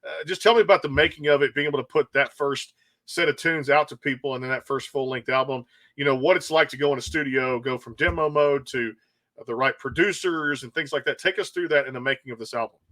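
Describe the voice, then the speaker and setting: Male interview host, quick and bright, rambling eager questions. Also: medium pitch. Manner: enthusiastic, rambling questions with many fillers. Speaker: podcast host. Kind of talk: casual interview chat.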